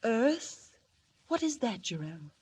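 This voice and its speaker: A woman's voice: a little high, big pitch swings, slow, a little mellow, slightly rough, heavily acted. An actress playing a devoted, anxious alien wife and mother in earnest 1940s radio melodrama. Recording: old 1940 radio recording, faint noise.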